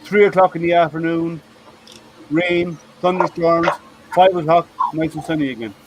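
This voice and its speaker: Dry-humored man, higher voice. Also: steady tone, moderate pace, very noisy. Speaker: hangout participant. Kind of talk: casual video-call chat.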